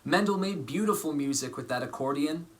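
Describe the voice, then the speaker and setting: A man reading a children's story aloud as an actor, with deliberate, theatrical phrasing and dramatic emphasis. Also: on the high side, animated. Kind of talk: expressive storybook narration.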